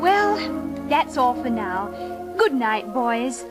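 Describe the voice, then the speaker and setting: A woman playing a sweet, eager young big sister who tells stories in a children's storybook record, gentle and wide-eyed. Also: on the high side, big pitch swings, takes it slowly, loud music behind the voice. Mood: gentle storytelling.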